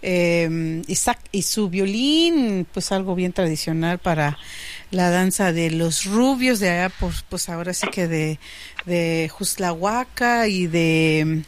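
A woman's voice: fairly low pitch, expressive, slow pace. A woman from Oaxaca with a Mexican accent, chatting casually as a live community radio host.

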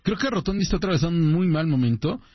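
A man's voice: a little high, expressive, fast, slightly rough, no room sound. A man on a sports radio panel, giving blunt, opinionated critique. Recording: dry, dull lo-fi broadcast.

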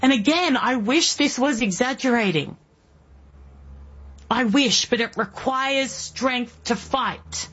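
A woman in her early forties with an Australian accent, hosting political talk radio in an emphatic monologue full of rhetorical questions, with a husky voice. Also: fairly high pitch, animated, slow, a bit bright, highs cut off. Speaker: guest radio host.

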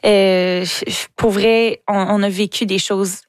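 A young woman with a Québécois accent, a singer and TV host answering interview questions enthusiastically, talking fast and restarting words often. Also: medium pitch, steady tone, clean tone, no echo. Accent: Québécois French. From Quebec. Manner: enthusiastic, chatty, many restarts and stammers.

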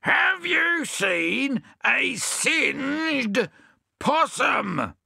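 Bluff storybook hothead, raised and loud, a man doing the voice. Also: fairly high pitch, sing-song, moderate pace, gravelly, breathy edge, dry recording, studio quality, pitched above the performer's own voice, heavily acted. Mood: impatient, roaring. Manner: loud, impatient. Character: blunt, hot-tempered male adventurer.

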